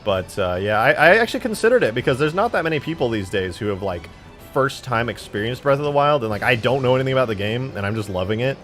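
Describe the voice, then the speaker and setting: A man's voice: medium pitch, expressive, quick pace, a bit bright, faint music behind. A man in his twenties chatting casually on a live stream while modding a game, rambling, joking and swearing freely. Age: 20s.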